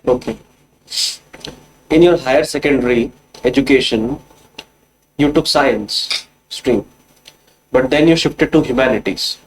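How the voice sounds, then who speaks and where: A man with an Indian accent, formally questioning a candidate as an interview panelist in a measured, probing way. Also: medium pitch, poor audio.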